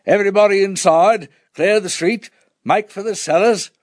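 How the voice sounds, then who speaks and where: A man voicing a determined air-raid warden with a booming, bossy manner, in a storytelling narration. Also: measured pace, a little mellow, dry recording, studio quality, lightly acted. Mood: booming orders.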